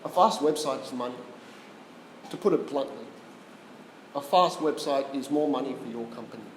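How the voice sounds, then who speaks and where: A man's voice: mid-range voice, normal speed, slight background noise. Australian male presenter, chatty and casual, in a roomy hall with a long reverb tail. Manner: casual, chatty, asks the audience questions. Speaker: tech talk presenter. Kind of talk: live presentation to a small audience. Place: meeting room with an audience.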